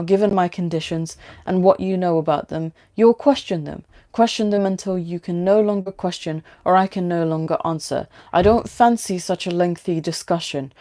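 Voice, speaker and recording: A woman's voice: fairly low pitch, warm tone, lightly acted. A woman reading a story aloud as a coolly amused, evasive female driver, with a London British accent. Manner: dismissive, withholding.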